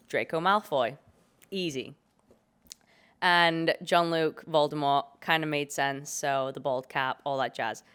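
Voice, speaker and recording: A young British woman hosting her own podcast in a relaxed, chatty, jokey way, her voice hoarse from a cold. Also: deep voice. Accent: British English. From Britain. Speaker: podcast host. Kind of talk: casual chat.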